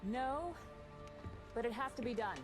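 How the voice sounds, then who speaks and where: A woman's voice: fairly high pitch, lively intonation, unhurried, over music. An actress playing a hard, resolute female commander in a video game, speaking firmly and bluntly. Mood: stern, resolute. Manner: firm, blunt. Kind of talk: cinematic game acting.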